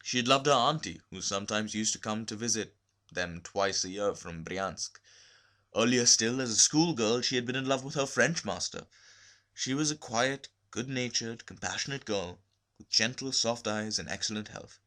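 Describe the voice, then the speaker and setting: Bright-voiced man reading a literary story. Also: medium pitch, normal speed. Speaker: story reader. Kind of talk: expressive literary narration.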